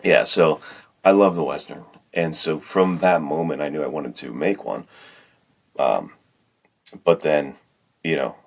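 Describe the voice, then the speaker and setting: Very deep-voiced man chatting casually. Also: measured pace, vocal fry, long pauses. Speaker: podcast co-host and author. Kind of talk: casual chat.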